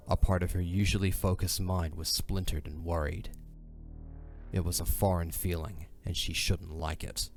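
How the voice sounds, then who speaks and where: A man's voice: sits low, darkish tone. A man narrating a scripted fantasy story in a dramatic audio drama style.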